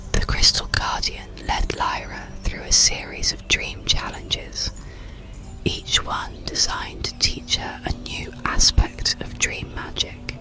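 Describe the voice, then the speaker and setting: Bedtime story narrator: breathy whisper with a crackly, gravelly edge, soothing and measured, ASMR style. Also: mid-range voice. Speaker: audiobook narrator.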